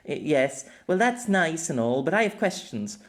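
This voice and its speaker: Man voicing an eager, high-pitched young woman. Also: very animated, normal speed. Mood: curious, hesitant. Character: young woman, eager, teasing, curious, easily flustered.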